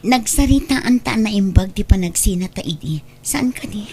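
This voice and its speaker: A woman playing a married woman who brushes off her former boyfriend, sounding brisk and dismissive in an audio drama. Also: mid-range voice, animated, a little mellow, husky.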